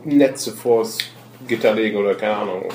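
A man's voice: fairly low pitch, moderate pace. A man chatting casually as a player in a tabletop role-playing game. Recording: roomy, echoey room with faint noise.

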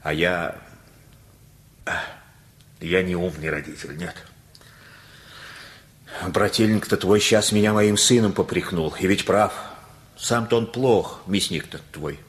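A low-pitched older man in a radio drama. He is a simple-hearted working-class father, anxious and folksy, feeling his way through each thought with homely, hesitant phrases. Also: takes it slowly, long pauses. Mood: troubled, confiding. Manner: folksy, colloquial, hesitant, with many fillers. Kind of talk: radio play acting.

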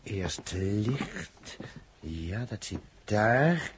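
A man's voice: lowish voice, drawn-out words. A restless electronics whiz in an old science fiction radio play. His delivery swings widely, impatient and irritable. Faint noise sits behind him. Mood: impatient, busy. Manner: excitable, impatient. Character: restless, irritable male astronaut electronics expert. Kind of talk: 1960s Dutch radio drama acting.

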